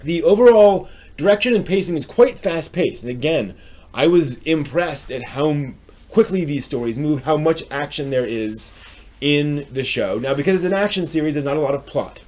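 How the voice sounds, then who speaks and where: A man's voice: fairly high pitch, animated, normal speed, darkish tone. A man giving a casual, unscripted review as a live stream host, explaining things with wry asides.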